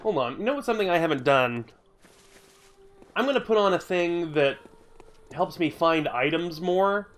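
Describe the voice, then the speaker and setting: A man's voice: a little high, animated, normal speed, long pauses. A man live-streaming a video game, stuffy from a cold, chatting casually with viewers in a rambling, grumbly way and swearing now and then. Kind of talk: casual live-stream chat while playing.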